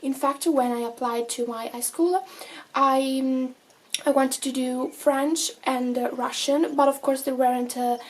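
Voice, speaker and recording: A teenage Italian girl talks to her camera in a loose, rambling way, with an Italian accent and plenty of "uh" and "like". Her voice sits a little high. A faint hiss runs under the recording. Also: fairly even intonation, measured pace. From Italy. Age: teens. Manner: chatty, many fillers and self-corrections. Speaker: vlogger. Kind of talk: casual vlog talking to camera.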